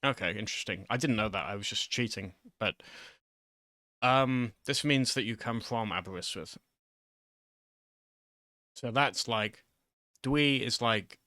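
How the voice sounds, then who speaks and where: A man's voice: fairly low pitch, pushed and bright, dry recording. A man giving an informal, improvised lesson in a hesitant, rambling, self-deprecating way, close to the microphone. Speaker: online video host.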